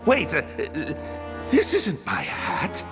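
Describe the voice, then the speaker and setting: A stammering, shy young prisoner. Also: male voice, fairly high pitch, wildly swooping pitch, takes it slowly, heavily acted. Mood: flustered. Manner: flustered and stammering. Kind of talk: game voice acting. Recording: game audio under music.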